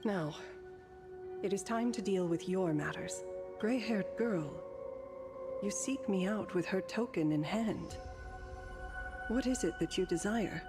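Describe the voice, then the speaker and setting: A woman's voice: mid-range voice, lightly acted. Solemn, aloof ancient woman, acted by a woman. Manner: formal, measured, composed. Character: solemn, aloof ancient female painter spirit, defiant. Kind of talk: video game voice acting. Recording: game music behind the voice.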